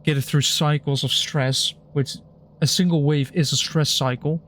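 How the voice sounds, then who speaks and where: A young man on a stream, explaining technical points in a dry, deadpan way with puns. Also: on the high side, normal speed, slight background noise. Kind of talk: casual chat while gaming.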